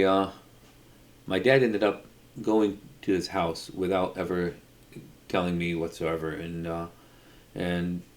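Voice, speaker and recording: A middle-aged man telling an unscripted personal story in a halting way, often repeating words as he goes. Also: low-pitched, a little mellow. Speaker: storyteller, video creator.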